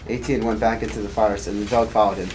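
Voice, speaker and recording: A man's voice: on the low side, steady tone, fast. A man narrating an amateur puppet show, reading hesitantly with frequent restarts. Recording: noisy amateur recording.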